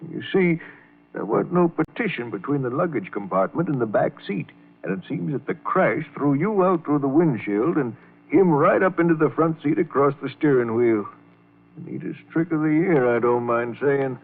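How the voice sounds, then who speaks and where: A man's voice: fairly high pitch, expressive, normal speed. A sly, paternal older fellow from an old radio crime play. He talks in blunt, folksy slang with a wry, confiding turn. The recording is narrow and phone-like, as from an aged broadcast. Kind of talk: old-time radio crime drama acting.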